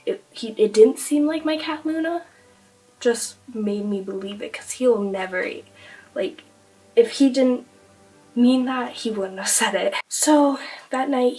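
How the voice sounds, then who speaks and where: A young woman vlogger, hoarse and losing her voice from being sick, chattily retelling a spooky family story with many fillers. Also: a little high, darkish tone, distant mic, poor audio. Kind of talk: casual storytelling to camera.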